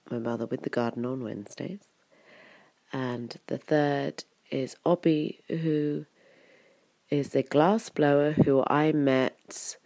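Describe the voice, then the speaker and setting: A woman's voice: extremely low pitch, gravelly, warm tone, no echo. A woman, hosting a podcast in a casual, rambling, chatty way. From England, Wiltshire. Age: 30s. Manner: rambling, chatty, full of "kind of" and "like". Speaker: podcast host.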